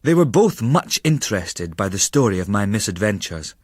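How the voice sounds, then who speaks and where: A man reading a literary travel memoir as a narrator, in a measured, formal storytelling manner. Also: fairly low pitch, very animated, husky, dry recording, studio quality. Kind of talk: dramatic literary audiobook narration.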